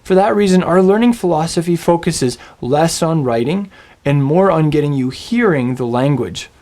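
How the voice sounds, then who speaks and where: A man teaching a Bible language lesson, speaking in a scripted, storytelling way with slow, deliberate phrasing and dramatic pauses. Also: on the high side, lively intonation, a little mellow. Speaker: Biblical Hebrew teacher.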